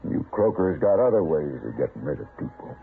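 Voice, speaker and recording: An actor playing a stubborn, gruff rancher with a rural American accent in a radio western. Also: male voice, extremely low pitch, sing-song, fairly quick, exaggerated voice. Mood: hostile. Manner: gruff, blunt. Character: bitter, stubborn, suspicious frontier horse rancher, a father. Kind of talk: old-time radio western drama acting. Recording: muffled, faintly noisy old radio recording.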